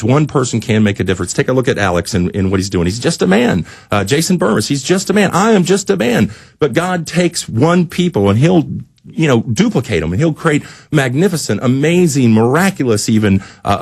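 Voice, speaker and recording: Male talk radio guest, rapid and preachy, emphatic rhetorical delivery, close dry studio sound. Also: mid-range voice, dry recording. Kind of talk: impassioned talk radio rant.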